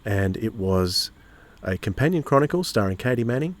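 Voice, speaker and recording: A man's voice: on the low side, very animated, moderate pace. A man with an Australian accent presenting a podcast in a conversational introductory style. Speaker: podcast host.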